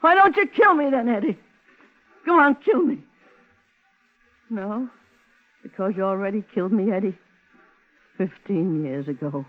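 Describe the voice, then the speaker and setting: A woman's voice: mid-range voice, expressive, measured pace, long pauses, no echo, phone-quality sound, close to an everyday voice. An actress playing an anguished, embittered woman with wounded, accusing delivery in a 1950s radio crime drama, on a thin old recording. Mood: anguished, defiant.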